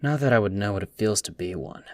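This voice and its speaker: A man's voice: lowish voice, animated, fast, no room sound, clean studio sound, natural voice. A man playing a sardonic, prying teenage boy in an anime dub, teasing and nonchalant. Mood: sarcastic. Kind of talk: anime fan dub acting.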